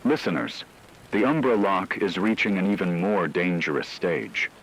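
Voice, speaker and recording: Deep-voiced grave radio newsman played by a man. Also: animated, normal speed, husky, exaggerated voice, low-quality recording. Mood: grave, ominous. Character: grave male radio newsman reporting a werewolf apocalypse. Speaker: radio emergency broadcaster. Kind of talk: scripted fictional radio news narration.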